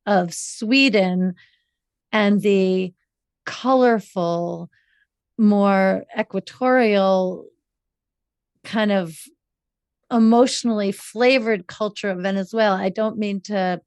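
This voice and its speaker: A woman hosting a relationship podcast, asking slow, thoughtful questions with measured, drawn-out phrasing. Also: medium pitch, no room sound, studio quality. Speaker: podcast host, relationship coach.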